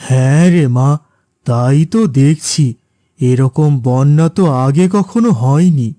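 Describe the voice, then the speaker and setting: Man playing a mellow, reassuring cartoon father. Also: medium pitch, slow, no echo, studio quality, natural voice. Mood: supportive. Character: gentle, patient, reassuring middle-aged village-born father. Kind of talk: cartoon voice acting.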